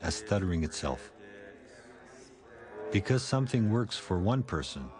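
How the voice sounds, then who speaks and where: Low-voiced man narrating reflectively. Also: sing-song, a little slow, over music. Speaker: filmmaker narrating his own film. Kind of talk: scripted documentary narration.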